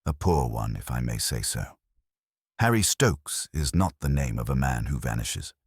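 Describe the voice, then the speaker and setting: A man voicing a commanding, coolly logical detective in an audiobook, formal, deliberate and pompous. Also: rumbling low, expressive, slightly rough, dry recording, clean studio sound, pitched below the performer's own voice, full character voice. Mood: probing, dry.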